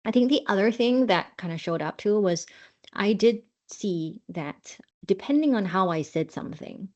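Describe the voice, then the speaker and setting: A woman from Singapore, an author and podcast guest, explaining in a measured, earnest way. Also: medium pitch, lively intonation, a little mellow. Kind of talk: interview answers. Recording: dull lo-fi audio.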